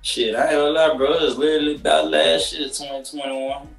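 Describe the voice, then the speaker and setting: A man's voice: fairly high pitch, steady tone, brisk, creaky. A man in his twenties, a rapper guest, talking casually on a couch with loose slang and lots of fillers, heard through a video call. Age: 20s. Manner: laid-back, loose slang, many fillers. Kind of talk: casual interview chat. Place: living room couch, indoors. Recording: roomy echo, faint music.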